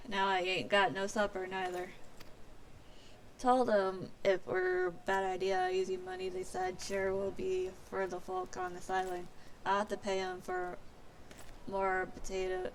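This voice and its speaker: A woman's voice: medium pitch, animated, measured pace, vocal fry, a little hiss or hum. A woman voicing a rustic, complaining seaside cook from a fantasy game, with folksy exclamations. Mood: grumbling. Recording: music behind the voice.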